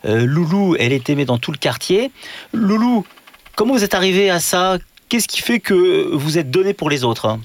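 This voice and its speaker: A man's voice: sits high, animated, normal speed. A man working as a radio reporter, asking questions with emphatic admiration. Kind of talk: radio interview.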